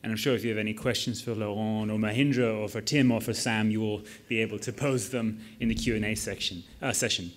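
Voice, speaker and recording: A man moderating a conference session, summarizing the earlier talks with halting fillers and introducing the next speaker. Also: lowish voice, steady tone. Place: conference stage.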